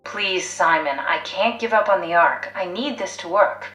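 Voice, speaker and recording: A woman's voice: lowish voice, normal speed, a bit bright, lightly acted. A woman playing a pragmatic, driven, blunt scientist in a video game, analytical, matter-of-fact and persuasive. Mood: insistent. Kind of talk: video game voice acting, naturalistic dialogue. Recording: echoey sound. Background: faint music.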